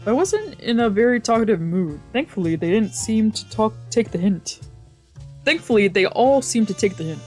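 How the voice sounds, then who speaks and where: A streamer narrates a visual novel in the first person. The reading is dramatic and brooding, at a middle pitch, with game music underneath. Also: darkish tone. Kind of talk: dramatic narration with character voices.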